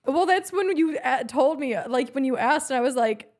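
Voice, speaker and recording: A woman's voice: high voice, expressive, moderate pace, a little mellow. A woman with a Chicago accent, hosting a podcast and asking curious questions in a chatty, casual way. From US Midwest, Chicago. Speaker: podcast host. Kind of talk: casual interview chat.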